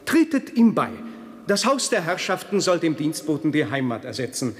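Preachy churchman, solemn declamation, radio play, long hall reverb, slightly high voice. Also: sing-song, normal speed. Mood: exhorting. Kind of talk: radio play acting, formal speech.

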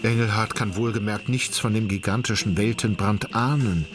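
An actor as a detached, wry literary narrator, reading ornate prose in a measured, ironic way for a radio drama. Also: male voice, lowish voice, fairly even intonation, close to an everyday voice. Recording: noisy outdoor ambience with crickets.

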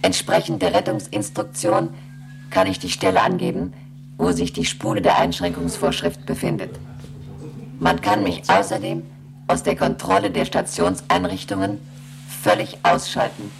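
An emotionless space station computer in a radio play, extremely low and creaky. It recites regulations in a formal, literal monotone, with faint noise behind it. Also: female voice, full character voice. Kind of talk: German radio play acting.

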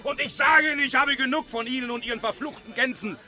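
An actor playing a gruff, gloating goose dealer in a 1950s radio drama, mocking and quick to anger. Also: male voice, very high-pitched, sharp tone, heavily acted. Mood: angry. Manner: blustering, mocking, quick-tempered. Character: gruff, gloating market goose dealer, middle-aged man.

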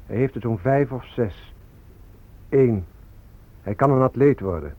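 Bitter activist, mid-pitched voice, sardonic, accusing, radio drama, dull lo-fi sound. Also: male voice, animated, measured pace, dry recording. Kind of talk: Dutch radio play acting.

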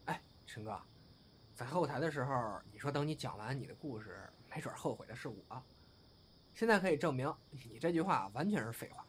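一位男演员在广播剧里饰演调皮爱闹的相声搭档兼恋人，年纪较轻，说话俏皮，爱斗嘴。. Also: male voice, mid-range voice, fairly quick, a little hiss or hum, natural voice. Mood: soft, sincere. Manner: cheeky, expressive, bantering. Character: cheeky, playful younger male comedy partner and lover. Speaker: voice actor. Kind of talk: intimate audio drama dialogue.

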